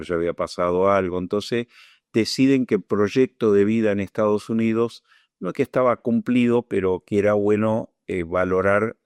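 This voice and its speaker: Older Argentine man, very low voice, dry close sound, reflective pace for interview testimony. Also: smooth, no room sound. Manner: measured, reflective, searching for words. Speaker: Falklands War veteran interviewee.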